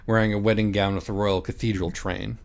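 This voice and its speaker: A man's voice: on the low side, flat delivery, a little fast, gravelly. A man reading scripted parody storybook narration in a mock-serious, florid literary manner with blunt swearing. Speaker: audiobook reader.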